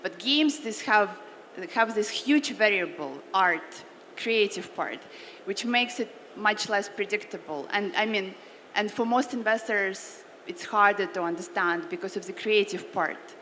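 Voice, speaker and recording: A woman's voice: mid-range voice, unhurried, bright, forceful tone. A woman speaking as a panelist, explaining her points in a matter-of-fact way. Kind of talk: panel discussion. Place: conference hall. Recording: reverberant hall with faint noise.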